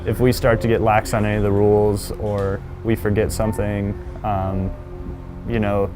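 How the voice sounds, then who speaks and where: A man's voice: lowish voice, flat delivery, moderate pace. A young man, an air traffic controller, explaining his job plainly in a documentary interview. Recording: music bed behind voice.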